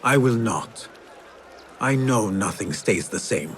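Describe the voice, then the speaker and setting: An actor playing a young male assassin in a game, calm, with a dry wit. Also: lowish voice, takes it slowly, slight background noise.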